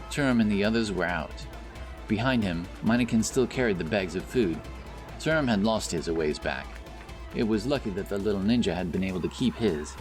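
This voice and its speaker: A man reading scripted fantasy narration as an audiobook narrator, with background music behind him. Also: lowish voice. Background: background music and effects.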